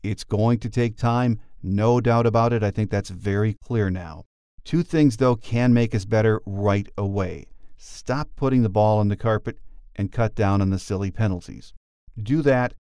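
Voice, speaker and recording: Low-voiced American male sports podcast host. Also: darkish tone, clean tone, no echo, studio quality. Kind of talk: prepared podcast commentary and interview.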